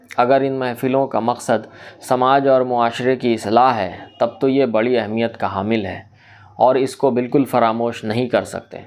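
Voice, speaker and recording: Clear-voiced man. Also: medium pitch, darkish tone. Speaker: social commentator. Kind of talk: scripted reformist monologue in literary Urdu.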